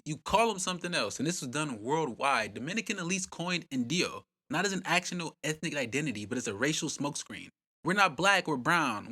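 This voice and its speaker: A man's voice: a little high, sharp tone. A man host narrating a scripted history documentary in a punchy, dramatic way with rhetorical questions.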